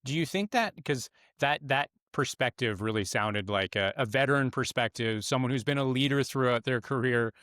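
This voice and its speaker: A man's voice: mid-range voice, normal speed, few pauses, fairly bright tone, no room sound, studio quality. Man hosting an interview. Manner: long rambling questions with fillers. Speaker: podcast host. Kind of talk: interview questions.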